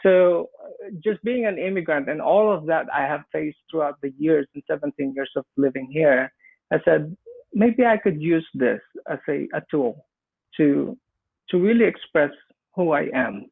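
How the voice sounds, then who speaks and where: Filipino guy, kinda gravelly, earnest and halting, tinny phone-line sound. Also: on the high side, lively intonation. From Philippines. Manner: earnest, halting with frequent restarts. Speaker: immigrant small business owner. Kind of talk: panel discussion storytelling.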